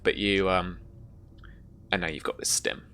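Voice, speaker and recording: A game master narrating a live tabletop horror game in an improvised, halting way, pausing to search for words. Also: low-pitched, fairly even intonation, fairly bright tone, slight background noise.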